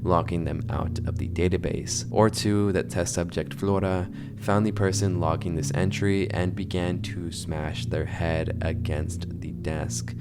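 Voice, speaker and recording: A man's voice: deep voice, fairly even intonation, measured pace, few pauses, noisy background. A man reading scripted, explanatory narration in the style of an online horror video breakdown. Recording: music under the voice. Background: background music.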